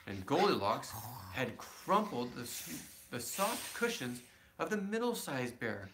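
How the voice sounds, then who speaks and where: A man's voice: medium pitch, sing-song, a little slow, background noise. A man reading a children's storybook aloud as a father, adding simple, casual asides to his child.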